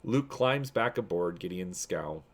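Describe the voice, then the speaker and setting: A man's voice: low voice, animated, a little slow. A man reading an adventure gamebook aloud as narrator and host, in a dramatic storytelling style.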